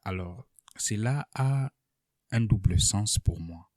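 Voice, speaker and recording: Male political analyst for online video: very low, warm voice, unscripted and deliberate, pausing as he explains. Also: lively intonation, no echo, clean studio sound.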